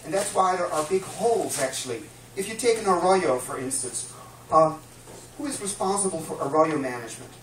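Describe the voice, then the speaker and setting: A Dutch-accented man in his fifties gives a lecture, his fairly high voice reaching the mic from a distance. The small room adds a noticeable echo, and a faint hum sits underneath as he works through his points with frequent "uh"s. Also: expressive, brisk. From Netherlands. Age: 50s. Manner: earnest and explanatory, frequent uh fillers. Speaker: guest speaker. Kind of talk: public lecture. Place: small dim room with a projection screen, live audience. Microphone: distant camera mic.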